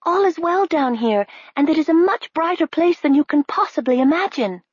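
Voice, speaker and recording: A woman's voice: sits high, expressive, moderate pace, dry recording, pitched above the performer's own voice. A woman voicing a brave, hopeful young princess who speaks earnestly. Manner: earnest, pleading. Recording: slightly dull, dry recording.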